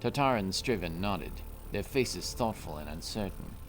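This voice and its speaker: A man's voice: low-pitched, lively intonation, a little slow, soft background music. A man narrating a scripted fantasy story.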